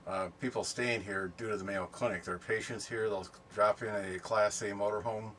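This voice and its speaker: A middle-aged man chatting casually and unscripted for a vlog, explaining things in a rambling, halting way. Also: on the low side, steady tone, a bit bright. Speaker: camping and ham radio vlogger. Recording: distant mic, background noise.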